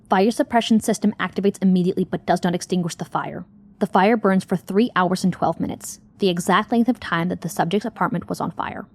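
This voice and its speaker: A woman's voice: fairly low pitch, darkish tone. A woman acting in an audio drama as a guilt-ridden young woman searching for her missing sister.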